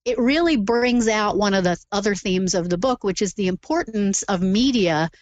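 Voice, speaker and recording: A woman's voice: medium pitch, normal speed, no room sound. A woman chatting on a podcast, thoughtful and analytical as she talks through a book's themes. The sound is a little dull and lo-fi, and the room is dry. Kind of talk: casual podcast chat.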